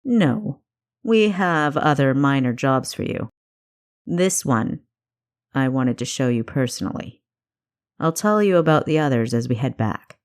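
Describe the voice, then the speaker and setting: Lady doing a bossy spymaster guy, low voice, clipped and stern, unhurried, fantasy audiobook. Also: expressive, a little mellow, heavily acted. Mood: brisk, instructing. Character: gruff older male spymaster, stern and teasing.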